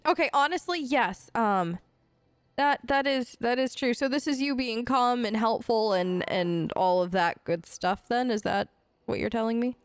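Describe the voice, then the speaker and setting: High-voiced, chatty woman gamer. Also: measured pace, smooth, no room sound. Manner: chatty, hesitant, conversational. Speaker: gaming host, role-playing as player character. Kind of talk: casual improvised role-play let's play commentary. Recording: game music under voice. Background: game music.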